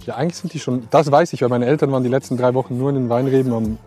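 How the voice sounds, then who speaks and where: Fast-talking host with a mellow voice. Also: male voice, medium pitch, fairly even intonation, faint music behind. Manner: curious, asks questions quickly. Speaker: podcast host. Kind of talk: casual interview on a walk. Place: outdoors in vineyards.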